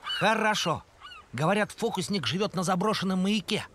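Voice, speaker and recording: A man's voice: high voice, lively intonation, moderate pace, noisy background. A man voicing a practical, encouraging little pirate schoolboy in a cartoon. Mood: practical, reassuring. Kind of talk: cartoon voice acting. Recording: music behind the voice.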